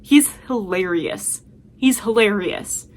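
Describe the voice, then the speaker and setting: A woman's voice: medium pitch, slow. A woman talking casually as a video host, giving chatty, emphatic and enthusiastic recommendations.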